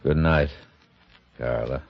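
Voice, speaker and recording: Deep-voiced, wary radio killer. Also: male voice, slow pace, full character voice. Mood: wary, secretive. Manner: terse, conspiratorial. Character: terse, wary male killer planning a cover-up. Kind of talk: 1950s radio mystery acting. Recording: muffled, noisy old radio broadcast.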